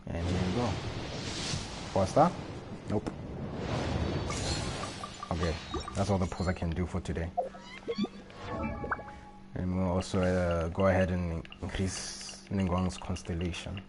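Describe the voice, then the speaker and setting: A man's voice: deep voice, steady tone, unhurried, long pauses, over loud music. A man chatting casually on a gaming livestream, rambling and repeating himself as he goes. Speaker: live streamer.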